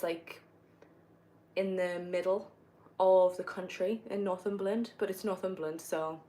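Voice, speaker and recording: A young woman from northeast England with a soft northeastern accent, chatting casually on a livestream while gaming, rambling with many fillers and self-corrections. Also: fairly low pitch. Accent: northeast English, softened. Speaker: livestreamer answering viewer questions. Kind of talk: casual live-stream chat while gaming.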